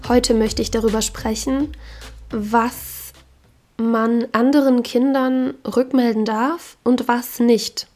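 Woman with a cold, hoarse, fairly high voice, frank casual podcast talk. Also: steady tone, slow pace, a little mellow, faint music behind. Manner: frank, opinionated. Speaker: podcast host.

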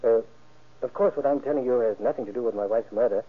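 A man's voice: mid-range voice, fast talker, breathy edge, close to an everyday voice. An actor playing a guarded middle-aged businessman who answers politely and hesitantly in an old-time radio crime drama. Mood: reluctant, explanatory. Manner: polite, hesitant, carefully explanatory. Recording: thin, muffled old radio recording.

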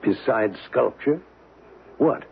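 An actor playing a desperate, hard-nosed blackmailer in a radio mystery, with blunt, terse delivery. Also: male voice, medium pitch, expressive, takes it slowly, gravelly. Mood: skeptical. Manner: blunt, terse, tough-talking. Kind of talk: old-time radio mystery acting. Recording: muffled, noisy old radio broadcast recording.

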